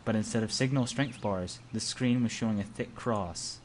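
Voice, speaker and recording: A man's voice: lowish voice, moderate pace. Man reading game narration over background noise. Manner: stumbles over words while reading. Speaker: amateur voice-over player in a group. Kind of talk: reading visual novel narration aloud with casual chat. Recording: noisy amateur home recording.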